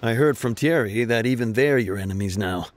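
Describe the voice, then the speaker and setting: A man's voice: mid-range voice, brisk, lightly acted. A man playing a composed, formal and businesslike law officer in a video game.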